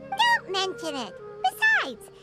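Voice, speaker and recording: A woman voicing a tiny, excitable, childlike fairy companion in a video game, squeaky and friendly. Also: takes it slowly, loud music behind the voice, heavily acted. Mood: helpful. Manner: bubbly, speaks of self in third person. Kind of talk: video game character acting.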